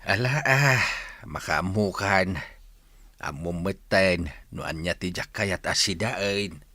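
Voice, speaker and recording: Old ilocano village dad, radio drama, low bright-edged voice, very dry sound. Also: male voice, slow pace, no room sound, full character voice. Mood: agreeable. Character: slow-spoken elderly village father, resigned and longing.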